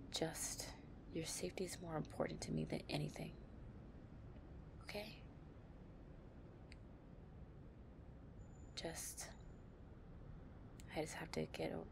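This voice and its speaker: A woman playing a possessive young gang-leader girlfriend, tough but tender, in an intimate, soft-spoken audio roleplay. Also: low voice, level tone, normal speed, gravelly, pauses often, background noise. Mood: apologetic, protective.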